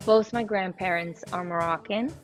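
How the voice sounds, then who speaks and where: A young woman from Israel speaks with a low, warm voice as an interview guest. She answers in long, flowing stretches with easy fillers and sounds proud. Soft music plays faintly behind her. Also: normal speed. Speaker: guest singer. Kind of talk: casual interview chat.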